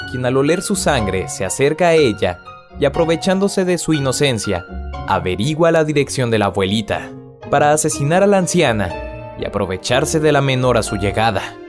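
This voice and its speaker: Male narrator, mid-pitched, very animated intonation, scripted storytelling over prominent music. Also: normal speed. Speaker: video narrator. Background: background music.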